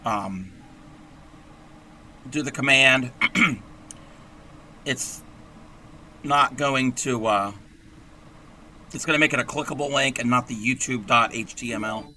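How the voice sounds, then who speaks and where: Hoarse, chatty man with a bright voice. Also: mid-range voice, slow, long pauses, a little hiss or hum. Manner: rambling, chatty, frequent throat-clearing. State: hoarse, scratchy voice. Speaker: crochet livestream host. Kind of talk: casual crochet tutorial, chatting with viewers.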